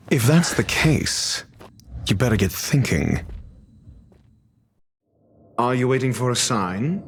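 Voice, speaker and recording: An actor playing a haughty, condescending male scholar in a video game cutscene, speaking in a deliberate, theatrical manner. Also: mid-range voice. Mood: cool challenge.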